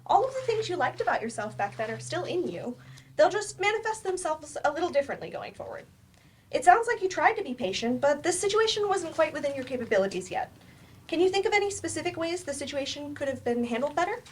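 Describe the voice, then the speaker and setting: A woman's voice: sits high, big pitch swings, fast talker, a little hiss or hum. A woman in a staged play reading, playing a firm, probing therapist with a direct, dry manner. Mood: measured. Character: blunt, probing female therapist, patient but firm.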